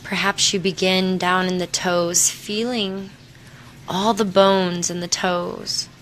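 A woman with a slightly low voice guides a body-scan meditation. She speaks very slowly and soothingly, leaving space between phrases. There is faint noise behind her. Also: flat delivery, fairly bright tone. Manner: soothing, unhurried, with long pauses.

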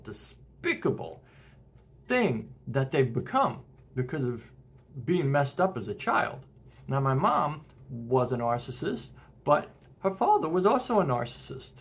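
Husky-voiced middle-aged man talking to camera. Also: fairly high pitch. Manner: earnest, animated, gesturing, with short laughs. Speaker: self-help video host. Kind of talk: casual direct-to-camera talk. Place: home room with porch window and plants.

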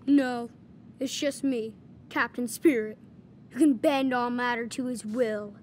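An actor voicing a young boy putting on a lower, mock-heroic voice for his pretend superhero. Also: steady tone, a little slow, a little hiss or hum. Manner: deepened, mock-heroic boast. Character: boy's made-up gruff hero voice.

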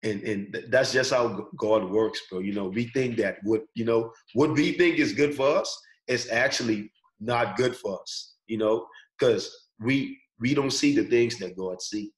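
Young Bahamian male guest, low-pitched, casual video-call interview, relaxed delivery. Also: a little slow, low-quality recording. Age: young adult. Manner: relaxed, laid-back, sprinkles "bro" and "you know". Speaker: student athlete guest. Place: small indoor room. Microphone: webcam on video call.

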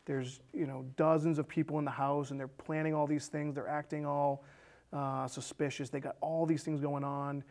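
A man's voice: fairly high pitch, flat delivery, normal speed, darkish tone. A man in his thirties casually talking through a movie's story as co-host of a film discussion show. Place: small indoor studio set with curtains.

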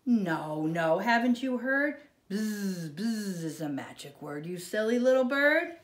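A woman's voice: medium pitch, very animated, slow, echoey room. A woman voicing bossy storybook farm animals, chiding emphatically and making exaggerated animal calls. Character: bossy farm animals scolding a silly little bird.

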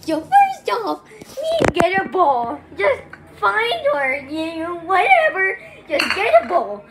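A woman voicing a bossy, violent-tempered puppet chef in an erratic home-made comedy cooking show, shrill when worked up. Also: huge pitch swings, slow pace, full character voice. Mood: manic. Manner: erratic, drawling then bursting into shouts. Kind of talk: improvised puppet comedy sketch. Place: home kitchen and living room, indoors. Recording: noisy handheld home video.

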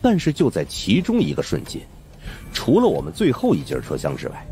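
A man's voice: medium pitch, wildly swooping pitch, brisk, vocal fry, warm tone, a little hiss or hum. A man narrating a supernatural suspense audiobook in a dramatic storytelling style.

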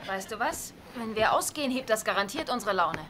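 An actress playing a quick-witted, status-conscious young wife in German-dubbed sitcom dialogue, brisk and wry. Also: female voice, medium pitch, animated. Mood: coaxing. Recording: TV soundtrack with loud crowd noise.

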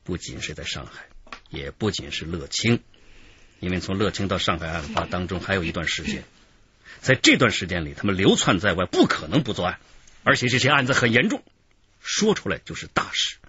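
An actor playing a stern, shrewd police interrogator in a radio crime drama, husky-voiced, lecturing with emphatic, measured authority. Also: male voice, low-pitched, sing-song, lo-fi sound, noisy background. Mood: grave, decisive.

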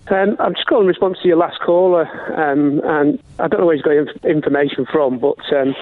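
A man with a fairly high voice speaks over a narrow, tinny phone line. He is a caller to a radio phone-in, explaining things in an earnest, insistent, conversational way. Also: no room sound. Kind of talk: conversational explaining, defending a point.

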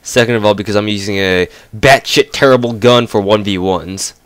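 A man's voice: fairly low pitch, moderate pace, a bit bright, clear, resonant tone, no room sound. A young man giving casual, profane gameplay commentary, ranting and mocking while congested from a cold. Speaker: gamer commentator.

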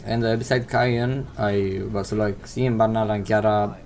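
A man's voice: lowish voice, fairly even intonation, normal speed, darkish tone. Hesitant teenage Romanian boy vlogging. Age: teens. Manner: hesitant, many uh fillers, non-native English phrasing. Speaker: channel host answering viewer questions. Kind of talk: casual Q&A vlog. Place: indoors at home, seated in gaming chair. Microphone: desk microphone.

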